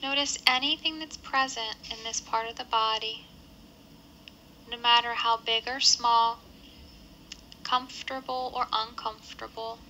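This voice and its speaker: A woman leading a guided body scan meditation with measured, gentle instructions and pauses between phrases. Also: on the high side, fairly even intonation, slight background noise, low-quality recording.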